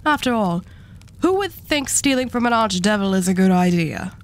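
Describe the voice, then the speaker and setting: Female arch devil for an ASMR roleplay, acted by a woman: mid-pitched, imperious treasure hoarder, condescending, unhurried, courteously threatening. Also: lively intonation, lightly acted. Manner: condescending, unhurried, politely menacing. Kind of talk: scripted ASMR roleplay acting.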